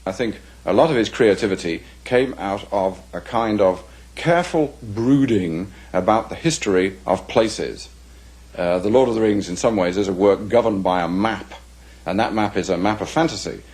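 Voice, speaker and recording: Low, husky Englishman explaining deliberately. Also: darkish tone. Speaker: literary scholar and commentator. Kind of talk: unscripted documentary interview commentary.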